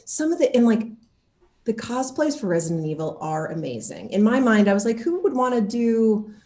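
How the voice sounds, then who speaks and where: A woman's voice: a little high, normal speed. Echoey, enthusiastic woman in casual chat. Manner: gushing, animated enthusiasm with emphatic exclamations. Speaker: interview guest, actor.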